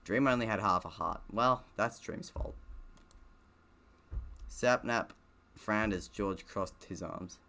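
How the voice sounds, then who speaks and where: Low, gravelly man reading a game aloud. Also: slow pace, pauses often, pushed and bright. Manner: stumbling reader with offhand, self-deprecating asides. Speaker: gaming streamer. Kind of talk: reading a visual novel aloud with casual commentary.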